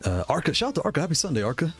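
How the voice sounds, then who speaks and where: A man's voice: on the high side, wildly swooping pitch, racing, husky, soft background music. A man casually chatting on a live stream, rambling, greeting viewers and laughing, with a voice worn from losing it. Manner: rambling, shouts out chat viewers, laughs often. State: losing his voice.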